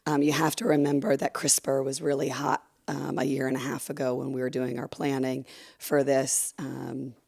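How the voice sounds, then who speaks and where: A woman giving a conference talk while losing her voice, hoarse and gravelly, with many fillers and restarts, speaking into a handheld microphone. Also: little pitch movement, moderate pace, darkish tone. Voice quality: gravelly, low. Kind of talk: conference presentation. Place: conference room with audience.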